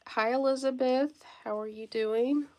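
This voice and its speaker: Stuffy-nosed woman chatting on a slow live stream. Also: sits high, steady tone, darkish tone. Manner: friendly greetings to viewers by name; occasional coughing and throat clearing. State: stuffy nose from a cold. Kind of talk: casual livestream chat.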